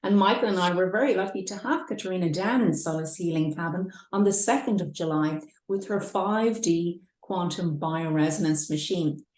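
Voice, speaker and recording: A woman's voice: lowish voice, normal speed, hardly any pauses, darkish tone. Woman hosting in an echoey room. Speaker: host. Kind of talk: introducing a guest in an online chat. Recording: roomy, echoing online chat.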